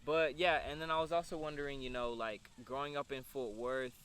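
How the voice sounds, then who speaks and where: A man's voice: medium pitch, steady tone, brisk, a bit bright. A man, hosting a casual interview. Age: 20s. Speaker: interview host. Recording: clear background noise.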